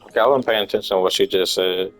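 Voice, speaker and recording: A man's voice: sits low, racing, a bit bright, soft background music, poor audio. A man reacting to a video game as a streamer, chatting casually in blunt slang with swearing.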